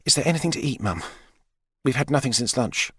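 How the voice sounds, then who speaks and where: A young woman in a storybook, brisk and quick to plead, as a man voices her. Her pitch sits clearly above the narrator's own, and her speech swings up and down. The recording is dry. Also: lively intonation, a bit bright, no room sound. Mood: urgent.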